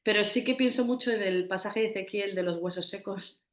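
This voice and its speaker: A woman's voice: medium pitch, a little fast, reverberant. A woman from Madrid with a Madrid Spanish accent, a festival organizer answering interview questions earnestly. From Madrid, Spain. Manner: earnest, with frequent "¿no?" tags and restarts.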